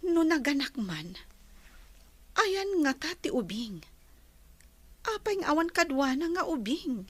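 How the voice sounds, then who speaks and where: An actress plays a young female nursing student in a radio drama. Her voice is very high, and she sounds worried and hesitant as she talks things over. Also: big pitch swings, unhurried, dry recording. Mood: questioning. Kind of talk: Ilocano radio drama acting.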